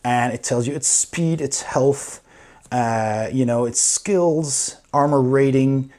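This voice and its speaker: A man's voice: mid-range voice, slow pace, a little mellow. A man giving a casual, enthusiastic review, talking through a book as he goes. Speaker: board game reviewer.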